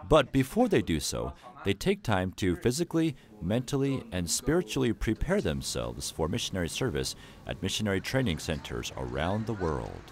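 A man giving measured, scripted narration for a documentary feature. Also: deep voice, wildly swooping pitch. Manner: measured, formal delivery.

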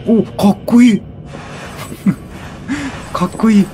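A man giving casual, excitable let's play commentary, chatty and reactive, often repeating short phrases. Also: high-pitched, takes it slowly. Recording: game music behind the voice. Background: video game music.

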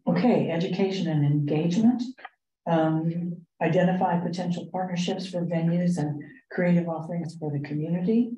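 A woman leading a committee meeting, explaining items in a halting, measured way. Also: fairly low pitch, steady tone, dark tone, lo-fi sound, slight background noise. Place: indoor meeting room. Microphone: distant room microphone. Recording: roomy, distant meeting-room microphone.

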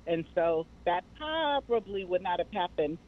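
A woman's voice: lowish voice, big pitch swings, a little slow. Woman on a tinny phone line. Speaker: guest, mental health advocacy director. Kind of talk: personal interview answers. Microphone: remote call.

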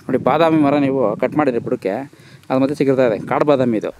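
A man walking a farm outdoors, brisk and directive as he gives practical pruning advice and asks questions. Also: medium pitch, darkish tone, slight background noise. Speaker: interviewer and farming advisor. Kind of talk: advisory interview on a farm walk.

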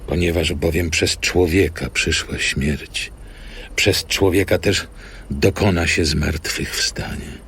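A man reading scripture as solemn scripted narration with deliberate, measured phrasing. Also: low-pitched, a bit bright, a little hiss or hum. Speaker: scripture reader. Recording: music behind studio narration.

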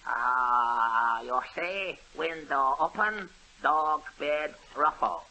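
A man's voice: on the high side, drawn-out words, sharp tone, full character voice. A man playing a bumbling detective in a 1930s radio comedy who speaks in clipped broken sentences and chuckles at his own puns. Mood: pompous. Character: bumbling male pidgin-talking detective Longpan. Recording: old noisy radio broadcast.

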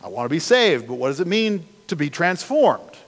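A man's voice: a little high, big pitch swings, normal speed. An older man preaching a sermon from the pulpit, conversational and full of rhetorical questions, picked up by a camera at the back of the church. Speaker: pastor. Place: church sanctuary, speaking from a pulpit. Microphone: far camera at the back of the church. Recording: long church hall reverb.

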